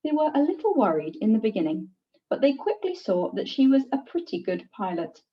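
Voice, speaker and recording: A woman reading a children's picture book aloud in an expressive, deliberate teacher's storytelling manner. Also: fairly high pitch. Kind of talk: scripted picture-book narration. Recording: distant, slightly dull microphone.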